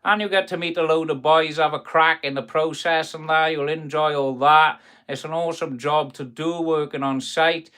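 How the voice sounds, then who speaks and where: A man's voice: on the high side, steady tone, moderate pace, few pauses, sharp tone, smooth. A man from South Wales with a South Welsh accent, a carpenter chatting on a live stream from his workshop, rambling in a friendly way as he answers viewers' comments. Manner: friendly, rambling, calls viewers "boys" and "buddy".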